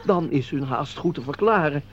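A mannered office clerk played by a man speaks with formal, theatrical care, his voice a touch high. It is radio drama, an old recording with a muffled sound and some light noise. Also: lively intonation, normal speed, close to an everyday voice. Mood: formal, measured. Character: formal, obsequious office clerk and secret lover. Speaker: actor. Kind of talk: Dutch radio play acting.

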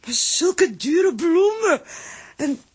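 An actress playing a fussy, bossy, quick-tempered aunt with a husky voice in a children's audio drama. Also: female voice, very high-pitched, big pitch swings, a little mellow, heavily acted. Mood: fussing.

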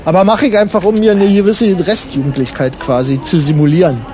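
An easygoing, self-satisfied traveller played by a man with a Berlin accent and a high voice. He talks in halting, colloquial radio play banter, childishly pleased with himself, over clear ambient noise. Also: steady tone, darkish tone, natural voice. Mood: playful, bickering.

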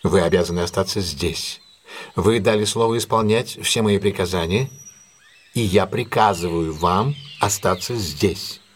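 An actor playing a shrewd, self-assured detective in an audio drama, with a husky voice and a brisk, imperious, theatrical manner. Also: male voice, low-pitched, a little hiss or hum. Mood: commanding. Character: commanding, shrewd, self-assured male detective plotting a trap.

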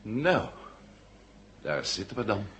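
An actor playing a determined, sharp-witted, suspicious astronaut hero in a 1970s radio drama, brisk and commanding. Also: male voice, lowish voice, sing-song, full character voice. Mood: wary, resolute. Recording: faintly noisy 1970 radio play.

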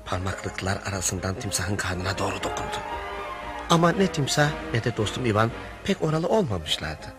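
A wry radio-play storyteller, formal in his phrasing yet very lively in intonation. He recounts a friend's misadventure at a medium pitch, with music playing behind him. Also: normal speed. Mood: wry narrating.

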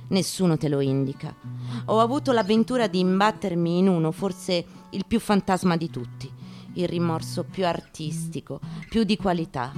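Low-voiced woman reading dramatically over guitar. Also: darkish tone. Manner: measured, emphatic delivery in short phrases with deliberate pauses. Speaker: stage actress reading aloud. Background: acoustic guitar.

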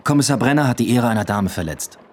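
An actor playing a stubborn, proud police detective in a radio drama, terse and reserved with a husky voice. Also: male voice, mid-range voice, expressive, fast, close to an everyday voice. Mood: defiant. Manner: terse, defiant, dry.

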